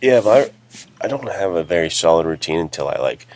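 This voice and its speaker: A man's voice: sits low, animated, fairly quick, a little mellow. A man chatting casually as a podcast co-host and writer, giving practical advice in informal language.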